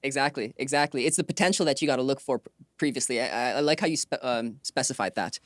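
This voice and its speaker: A woman's voice: rumbling low, fast, no echo, studio quality. A woman hosting an interview in a casual, informal way, often affirming with short repeated acknowledgements. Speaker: interview host.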